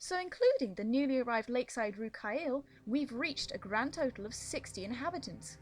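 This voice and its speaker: A woman voicing a deferential female dragon aide to a queen in an animated fantasy. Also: fairly high pitch, moderate pace, soft background music, lightly acted. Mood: deferential, reporting. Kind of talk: animated fantasy drama acting.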